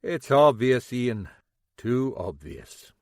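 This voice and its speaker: A man's voice: medium pitch, big pitch swings, moderate pace, no echo, close to an everyday voice. A man voicing a shrewd, dry-witted Scottish police chief with a Scottish accent. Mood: wry. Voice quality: wry, commanding.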